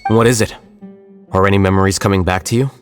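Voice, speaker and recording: An actor playing a calm, composed male scholar speaking in a measured way. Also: low-pitched, faint music behind, lightly acted. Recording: game soundtrack behind.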